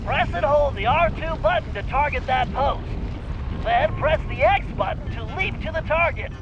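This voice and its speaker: A man playing a brainy, anxious turtle tech sidekick in an animated video game, nasal, precise and wordy as he gives mission orders. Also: extremely high pitch, moderate pace, lo-fi sound, background noise. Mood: excited, urgent. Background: music.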